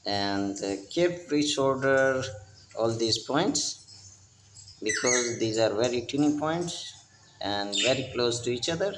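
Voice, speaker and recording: Male repair instructor, slow and piecemeal delivery, roomy noisy recording. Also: medium pitch, expressive. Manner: halting, fragmented phrases with repeated pointers. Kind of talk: unscripted hands-on repair demonstration.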